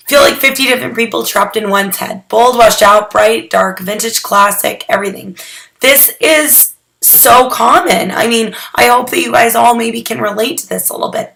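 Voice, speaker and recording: A hoarse young woman getting over a cold, talking casually through a photography critique, rambling with lots of "um"s and restarts. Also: fairly high pitch, fairly bright tone. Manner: chatty and rambling, with many "um"s and restarts. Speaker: photography mentor critiquing student work. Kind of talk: casual recorded critique addressed to students.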